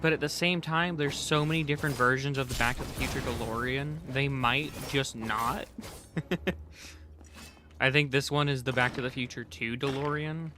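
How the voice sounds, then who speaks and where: A man's voice: medium pitch, fairly even intonation, moderate pace, music in the background. A man livestreaming a game, chatting casually in a rambling, slangy way. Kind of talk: casual gaming stream chat. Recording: clearly noisy background with clicks.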